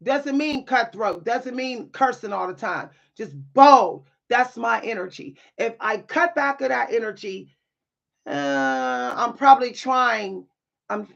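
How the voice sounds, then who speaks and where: A woman with a deep, at times loud voice, speaking in a bold, sassy way with dry humor and repeating phrases for emphasis in a motivational monologue. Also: a little slow, gravelly, dull audio. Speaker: spiritual content creator and writer.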